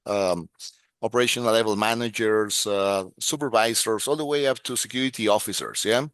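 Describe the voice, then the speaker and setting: Halting Ecuadorian man, smooth dry voice. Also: on the low side, fairly bright tone, dry recording. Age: middle-aged. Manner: halting, many restarts and fillers. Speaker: guest, security expert. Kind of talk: casual interview talk.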